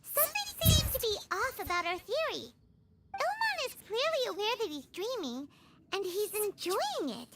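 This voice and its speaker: A woman voicing a tiny, excitable, curious fairy companion in an anime video game, with a squeaky childlike voice. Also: moderate pace, heavy background noise. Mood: pondering. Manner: refers to herself in third person, exclamatory. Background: game music.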